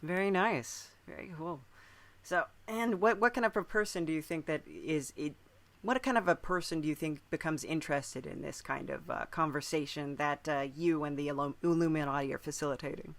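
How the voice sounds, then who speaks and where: A man hosting a casual conversational interview, agreeable and halting, often repeating his phrases. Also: fairly high pitch, creaky, a bit bright. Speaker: podcast host, interviewer.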